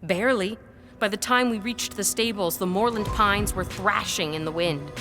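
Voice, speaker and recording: A woman playing a composed, sceptical manor employee in a period audio drama, with a measured, formal, restrained manner. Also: mid-range voice, over music, lightly acted. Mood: grave recounting.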